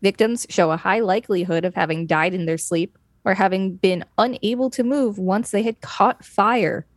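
A woman's voice: mid-range voice, moderate pace, no echo, studio quality. A woman co-hosting a podcast, reading an article aloud and adding casual asides. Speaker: podcast co-host.

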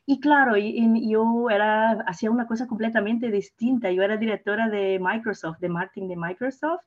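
A woman's voice: fairly high pitch, brisk, muffled recording. A native Portuguese woman with a Portuguese accent giving conversational interview answers as a podcast guest, effusive and searching for words. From Portugal, born in Mozambique. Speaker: podcast guest, hotel PR and social media director.

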